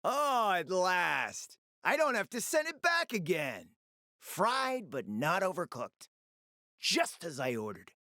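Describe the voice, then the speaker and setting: A man's voice: high-pitched, wildly swooping pitch, takes it slowly, pushed and bright, no room sound, studio quality, full character voice. An actor playing a smug, flirtatious, decadent gourmand fixer in a video game, speaking in a pompous, theatrical, condescending way and savoring his words. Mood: delighted. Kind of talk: video game character acting.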